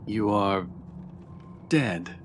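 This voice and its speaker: A man's voice: on the low side, drawn-out words, a little hiss or hum. A man streaming a game, reading dialogue aloud and adding casual asides.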